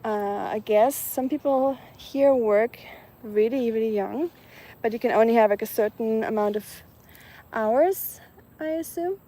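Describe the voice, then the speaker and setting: A woman from Germany with a German accent, chatting casually and softly outdoors, with many ums. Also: on the high side, measured pace. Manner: soft-spoken, chatty, many ums, laughs lightly. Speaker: vlogger. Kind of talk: casual live chat outdoors. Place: outdoors in the evening, nature sounds around.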